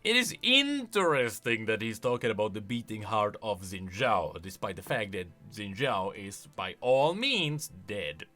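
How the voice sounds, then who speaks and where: A man's voice: on the low side, very animated, a little slow, few pauses, sharp tone, faint music behind. A man hosting a video, giving casual, enthusiastic commentary on game lore and explaining as he goes. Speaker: video host.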